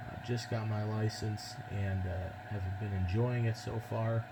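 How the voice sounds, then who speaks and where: A man with an American accent and a fairly low voice talks over loud background noise. He speaks as an amateur radio operator making casual contact, polite and a bit hesitant in his phrasing. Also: steady tone, unhurried. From United States.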